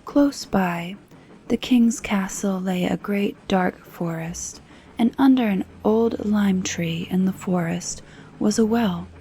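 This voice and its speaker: A woman narrates a bedtime fairy tale in a soft ASMR style that drifts toward a whisper. Her pace is very slow and unhurried, with some background noise. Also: mid-range voice. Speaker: storyteller.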